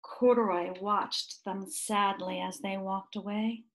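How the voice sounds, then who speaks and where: A woman reading a picture book aloud to young children, expressively, with deliberate dramatic pauses and emphasis. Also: mid-range voice, fairly even intonation, slight background noise, rough recording.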